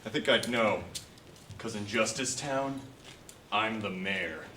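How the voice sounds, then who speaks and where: An actor in a comic fairytale play, recorded from afar in an echoey room. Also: male voice, on the low side, slow pace, bright, forceful tone. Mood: defiant, confrontational. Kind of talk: comic stage-play acting. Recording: distant, echoey room, faint noise.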